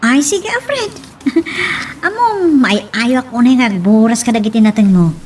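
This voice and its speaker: A woman acting a lively young female friend who teases a farmer, expressively, in an Ilocano audio drama. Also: fairly high pitch, measured pace. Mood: teasing, admiring. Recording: distant mic with background noise.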